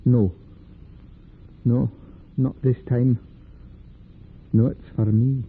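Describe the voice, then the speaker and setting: A man's voice: mid-range voice, little pitch movement, extremely slow. An actor playing a kindly but firm middle-aged Quaker father in old-time radio drama, using plain thee-and-thou speech. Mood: grave, resigned. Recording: muffled, noisy old radio recording.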